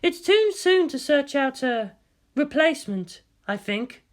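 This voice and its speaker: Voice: sits high, very animated, unhurried, voice pushed up. A narrator voicing a cool, clinical female scientist with a dry, matter-of-fact manner. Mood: cool, measured.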